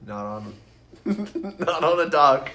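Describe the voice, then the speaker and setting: A man's voice: a little high, sing-song, slow, fairly bright tone, a little hiss or hum. A man co-hosting a podcast, chatting casually with strong opinions and salty, slangy language. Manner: profane, slangy. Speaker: podcast co-host.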